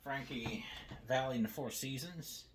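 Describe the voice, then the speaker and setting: A man's voice: medium pitch, unhurried. An older man casually showing his cassette tapes at home, rambling and often repeating himself as he restarts his words. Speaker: video host showing his cassette tape collection. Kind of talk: casual show-and-tell narration. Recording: faint background noise.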